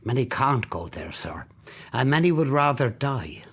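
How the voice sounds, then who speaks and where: A man's voice: mid-range voice, very animated, measured pace, gravelly, husky, heavily acted. An actor with an Irish accent playing a courteous male charity collector in a radio play, earnest and polite. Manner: courteous and earnest, softening at phrase ends.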